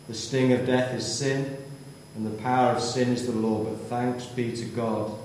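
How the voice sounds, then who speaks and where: Guy reading the bible out, measured, lots of echo, kinda far. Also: medium pitch, level tone, darkish tone, slight background noise. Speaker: scripture reader. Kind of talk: scripture reading. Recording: distant mic in echoey church.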